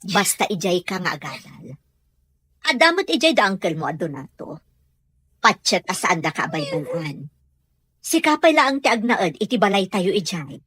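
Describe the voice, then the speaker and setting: A woman's voice: mid-range voice, very animated, normal speed, fairly bright tone, breathy edge, no room sound. A woman playing a concerned mother in an audio drama, coaxing and pleading with an Ilocano Filipino accent. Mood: persuading.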